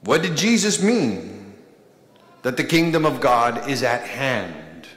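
A man in his thirties gives a sermon at a church pulpit, pausing for emphasis between short phrases. His speech is unhurried and weighty. The sound carries a roomy echo with a long church reverb trailing each line. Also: mid-range voice, big pitch swings, a bit bright. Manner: slow, deliberate preaching with emphatic pauses and gestures. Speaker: priest. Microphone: pulpit microphone.

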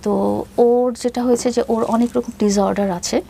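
A woman's voice: a little high, steady tone, creaky, warm tone, a little hiss or hum. A woman in her fifties with a Bangladeshi accent, telling a personal story as an interview guest, hesitantly and with English phrases mixed in. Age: 50s. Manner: hesitant, halting delivery, mixing in English phrases.

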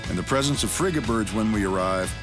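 A man's voice: lowish voice, expressive, fast. A man narrating and hosting a fishing television show in scripted narration. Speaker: narrator and host. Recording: music under the voice.

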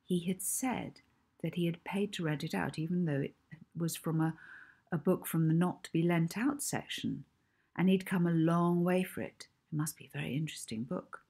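Husky woman reading a story. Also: on the low side, normal speed, mellow. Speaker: author reading own children's novel. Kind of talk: expressive storybook narration.

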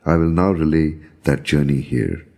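A man reading a scripted, first-person story of a visionary journey in a measured, solemn manner. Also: extremely low pitch, steady tone, dark tone. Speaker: storyteller reading own account.

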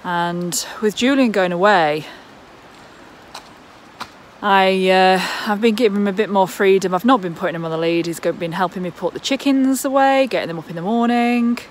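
A woman's voice: mid-range voice, normal speed, a little hiss or hum. A woman, talking worriedly into a phone held at arm's length in a casual vlog. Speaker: vlogger. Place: outdoors in the countryside and indoors at home.

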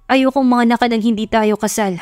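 A woman's voice: a little high, fairly even intonation, a little mellow, no room sound, studio quality, faint music behind, lightly acted. Woman playing earnest lovestruck young man. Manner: intense, sincere, slow declarations.

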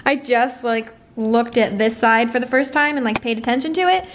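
Bubbly high-voiced young woman vlogger. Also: moderate pace, a little hiss or hum. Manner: bubbly, chatty, many "like" fillers. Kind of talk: casual vlog chat. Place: home kitchen, car and big store.

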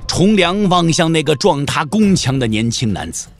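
A man's voice: on the high side, big pitch swings, moderate pace, soft background music. A man narrating a martial arts audio drama as a scripted, dramatic storyteller.

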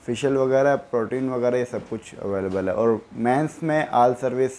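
A man's voice: mid-range voice, normal speed, a little mellow. A young man working as a barber, answering questions about his salon's services in a plain, matter-of-fact way. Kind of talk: casual interview answers.

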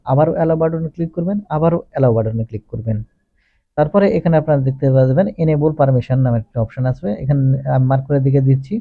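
A man narrates a tech tutorial in a fairly high voice at a very rapid pace. He goes through each step methodically, often repeating his phrasing. The recording is close and dry. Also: no room sound, phone-quality sound. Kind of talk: step-by-step instructional voice-over.

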